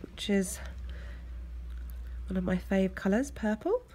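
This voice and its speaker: A woman with an English accent, hoarse from a cold, chatting casually as she talks through a nail art tutorial. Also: medium pitch, lively intonation, takes it slowly, warm tone. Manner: chatty, loose, lots of fillers. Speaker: nail technician. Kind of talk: step-by-step tutorial narration.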